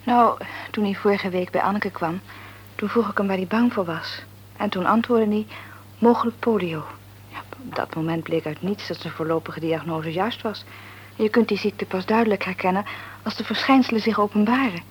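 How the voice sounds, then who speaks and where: A woman playing an upset young mother in a radio play, speaking hushed and breathy. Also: mid-range voice, normal speed, poor audio.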